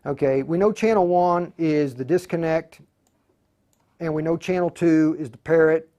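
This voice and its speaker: Higher-pitched man thinking aloud through a tutorial. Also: steady tone, warm tone. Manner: halting, muttering to himself while working. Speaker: tutorial host demonstrating radio programming.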